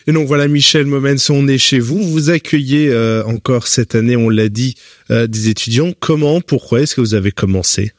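A man's voice: mid-range voice, normal speed, no echo, clean studio sound. Man asking short interview questions. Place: a guest's home.

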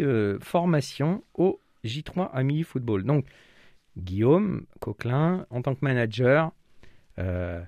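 A man hosts a radio football show from a studio, leading the interview with frequent pauses and fillers. His pitch swings widely as he speaks. The sound is dry and close to the mic. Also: a little mellow, no echo.

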